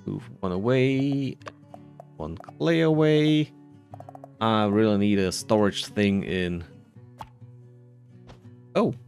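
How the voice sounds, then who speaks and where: A man's voice: lowish voice, slow, creaky, pauses often, darkish tone, faint music behind. A man giving casual commentary on his own video game playthrough, muttering to himself as he plays. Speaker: gamer narrating a playthrough.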